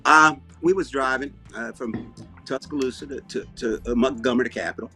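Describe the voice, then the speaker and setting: An older man with a Southern US accent and a husky voice, chatting in a folksy, rambling storytelling way with down-home grammar. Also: medium pitch, fairly quick, bright, forceful tone, faint music behind. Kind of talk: casual bantering chat.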